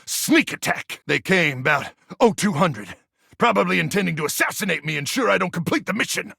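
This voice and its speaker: A man voicing a frazzled, paranoid soldier microwave in a video game, gravelly and intense, full of awkward military bluster. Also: sits high, very animated, a little fast, bright, forceful tone, no echo, studio quality, heavily acted. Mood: apologetic, frazzled. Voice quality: gravelly, raspy. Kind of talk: animated video game voice acting.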